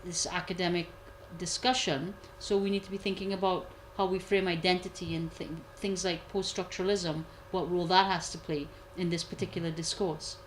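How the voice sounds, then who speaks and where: Measured woman, fairly low voice. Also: level tone, a little hiss or hum, low-quality recording. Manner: measured, repeats points for emphasis. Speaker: guest commentator. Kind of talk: analytical discussion.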